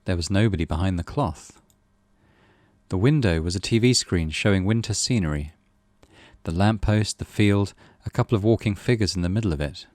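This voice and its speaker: A man reading visual novel narration as a gaming host, in an expressive, dramatic way. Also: sits low, moderate pace, dry recording. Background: music.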